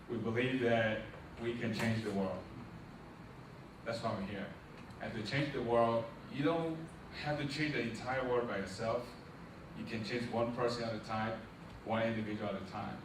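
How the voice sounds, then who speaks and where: A man's voice: medium pitch, a bit bright. A middle-aged man gives a preacherly motivational speech to a crowd, asking them questions and building to emphatic peaks. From Liberia. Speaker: nonprofit founder giving a launch speech. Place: large echoey event hall. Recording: echoey hall, distant mic, noisy.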